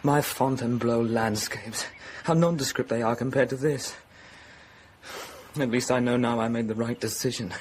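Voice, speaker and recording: A man acts out a worldly young male painter in a radio drama, wry and pragmatic. He talks in a sardonic, persuasive way at a medium pitch. Faint ambience sits behind the voice. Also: fairly even intonation, moderate pace, a little hiss or hum, natural voice. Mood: wistful, defeated.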